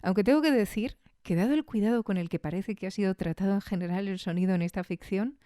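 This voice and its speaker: A woman's voice: medium pitch, quick pace, mellow, dry recording, studio quality. A woman with an accent from Spain hosting a podcast, giving a casual, reflective spoken review with conversational tag questions.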